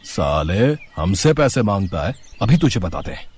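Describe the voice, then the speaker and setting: A man's voice: lowish voice, very animated, unhurried, a little mellow, slightly rough, full character voice. A man playing a rowdy, menacing street thug who talks rudely and demandingly in a story. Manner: rude, demanding.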